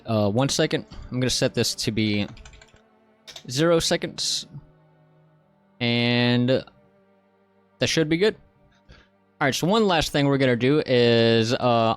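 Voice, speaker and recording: A man with a medium-pitched voice hosts a software tutorial. He explains each step informally, in an everyday conversational style. Faint music plays behind his narration. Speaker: tutorial host.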